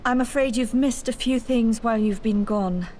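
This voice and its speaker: A woman playing a spirited, resolute young pirate lady in a video game, earnest and deliberate. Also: a little high, a little mellow, slight background noise, natural voice. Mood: concerned. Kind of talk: dramatic video game acting.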